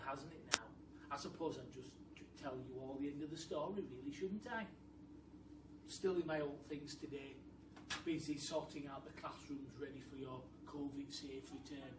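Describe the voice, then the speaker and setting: A man's voice: fairly high pitch, fairly even intonation, moderate pace. A man telling a story to children like a primary teacher, informal, with chatty asides straight to the listeners. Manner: informal, addressing children directly. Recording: roomy, noisy, distant microphone.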